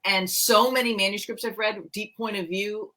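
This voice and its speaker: Bright-voiced middle-aged woman, chatty. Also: mid-range voice, fairly even intonation, normal speed. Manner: chatty, candid, self-deprecating. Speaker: romance author and co-host. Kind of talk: casual book chat.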